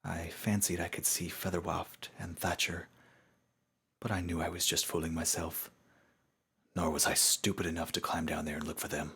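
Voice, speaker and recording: A man giving a dramatic, scripted narration of a story in a solemn, measured storytelling manner. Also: low-pitched, level tone, pushed and bright, husky.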